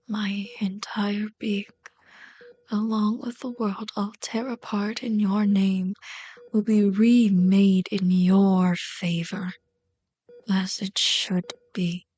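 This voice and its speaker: A woman's voice: mid-range voice, darkish tone. A woman playing an obsessive, lovelorn villain in an ASMR roleplay, bitter and pleading, speaking intimately and dramatically with frequent drops toward a whisper. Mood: devoted, obsessive. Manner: slow, intimate, dramatic delivery, often dropping to a whisper.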